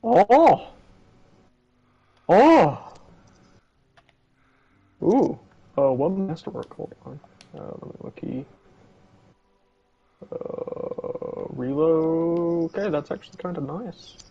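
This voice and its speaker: A man's voice: fairly high pitch, expressive, drawn-out words, long pauses, dark tone, highs cut off. A man in casual game chat, bantering in a crude, bossy way with plenty of swearing. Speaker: co-op gaming partner.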